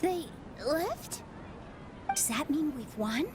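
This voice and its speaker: Game role: gentle, sincere young woman, very high and unhurried, under loud music. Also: sing-song, very noisy, full character voice. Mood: relieved, weary. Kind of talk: video game voice acting.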